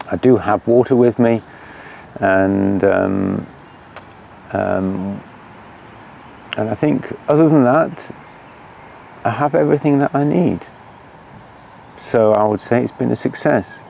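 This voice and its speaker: An older man chats to a camera set a little way off by a lakeshore. His voice sits low with a gravelly edge, and he speaks in an unhurried, halting way full of fillers. Faint outdoor ambience sits under the voice. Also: darkish tone, a little hiss or hum. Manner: unhurried, hesitant with many fillers. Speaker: cycling vlogger. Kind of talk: casual outdoor vlog. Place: lakeshore campsite outdoors by mountains. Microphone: camera at a distance.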